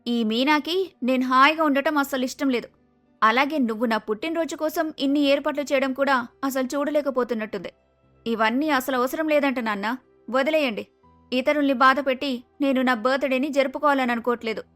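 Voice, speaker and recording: A woman's voice: high voice, fast talker, fairly bright tone, soft background music. A woman voicing an arrogant, spoiled young adult daughter in a cartoon moral story, with a haughty, bossy manner. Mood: spiteful, whining.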